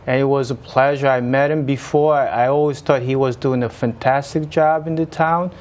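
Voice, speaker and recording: A man from Haiti with a Haitian accent, a municipal engineer chatting as a guest in an interview and often pausing on fillers. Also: mid-range voice, fairly even intonation, a little mellow. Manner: hesitant, many uh fillers and restarts. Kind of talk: casual interview chat.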